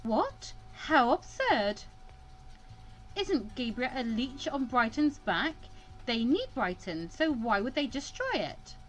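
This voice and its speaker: An indignant teenage student girl, voiced by a woman for a visual novel. She is sarcastic and sharp-tongued, with a high voice that swings widely in pitch. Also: sing-song, slow, faint music behind, poor audio. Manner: sarcastic, exclamatory.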